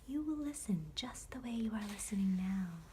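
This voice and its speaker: A woman voicing contented, routine-bound butterflies in a measured whisper for an ASMR bedtime storybook. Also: medium pitch, lively intonation, mellow, a little hiss or hum, pitched above the performer's own voice, natural voice. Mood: earnest, friendly. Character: contented, set-in-their-ways butterflies, gentle and routine-bound.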